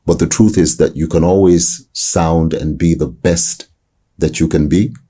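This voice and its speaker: A man who works as a voice-over artist with a deep voice, answering interview questions in a reflective way, often restarting his sentences. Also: fairly even intonation, mellow. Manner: reflective, hesitant restarts, slow emphasis.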